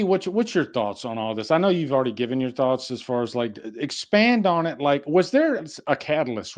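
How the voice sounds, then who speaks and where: A man's voice: mid-range voice, darkish tone. A man hosting a podcast, chatting casually and conversationally with his co-hosts. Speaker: podcast host. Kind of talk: casual chat.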